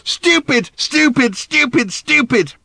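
Audiobook role: wounded, impatient teenage girl played by a man, high and sharp, hurling furious accusations. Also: lively intonation, slow pace, gravelly, dry recording, heavily acted. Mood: furious, shouting. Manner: shouting, accusatory outbursts.

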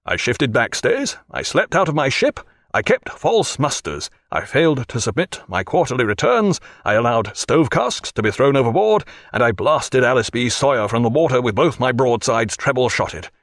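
A man's voice: medium pitch, very animated, normal speed, few pauses, fairly bright tone, no room sound, clean studio sound. A man voicing a blunt, commanding, mischievous naval captain in an audiobook. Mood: mock confessing.

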